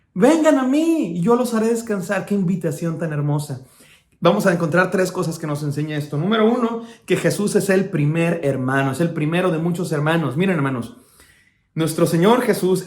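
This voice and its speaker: A man's voice: sits high, darkish tone. A man from Mexico with a Mexican Spanish accent, preaching an emphatic sermon as pastor. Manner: emphatic preaching, acts out quoted speakers. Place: church hall. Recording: echoey church hall.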